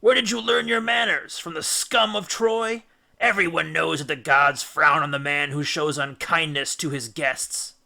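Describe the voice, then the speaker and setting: A man's voice: on the high side, steady tone, a little slow, vocal fry, bright, forceful tone, exaggerated voice. A man voicing a proud, diplomatic hero and ship's captain in a children's storybook, commanding and a little pompous. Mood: indignant. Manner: slightly pompous, commanding, declamatory.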